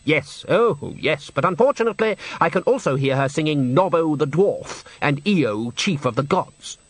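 A man's voice: fairly high pitch, lively intonation, moderate pace, no room sound, voice pushed up. A man reading aloud and voicing a male opera manager who speaks nastily and sarcastically. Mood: sarcastic. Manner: nasty, sarcastic.

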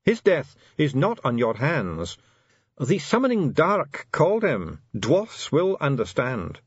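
A man's voice: on the high side, huge pitch swings, takes it slowly, breathy edge, no echo, highs cut off, voice pushed up, exaggerated voice. A man doing a grave, formal dwarf elder. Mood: solemn. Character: solemn dignified male dwarf elder.

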